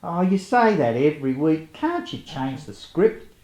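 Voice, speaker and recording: A man's voice: on the high side, big pitch swings, a little slow, a little mellow. Man playing a lightish-voiced jaded older radio actor. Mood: sardonic, weary.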